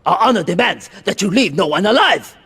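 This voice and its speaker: An actor playing a proud, stern Japanese yakuza boss in a video game, speaking formally and haughtily. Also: male voice, sits high, very animated, fairly quick, bright, forceful tone, a little hiss or hum, exaggerated voice. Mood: stern, commanding. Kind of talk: video game cutscene acting.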